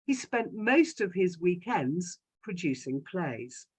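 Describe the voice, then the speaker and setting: A woman, reading a prepared personal talk in an articulate, measured and formal way. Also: on the low side, sing-song, darkish tone, breathy edge. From London, England. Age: 60s. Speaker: guest speaker giving a talk about a parent. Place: indoors in a home study, on a video call.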